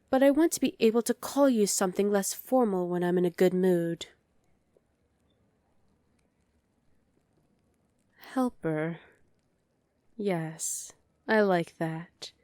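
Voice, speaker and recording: A woman's voice: medium pitch, a little mellow, no room sound, studio quality, close to an everyday voice. A woman voicing a dominant, haughty evil queen in an ASMR roleplay, imperious, sly and condescending. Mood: playful, possessive.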